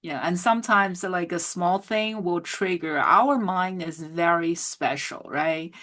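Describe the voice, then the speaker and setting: A woman's voice: fairly low pitch, a little slow. A woman hosting a casual interview on a video call, talking in restarts and tag questions. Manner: warm praise, many restarts and tag questions. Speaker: host.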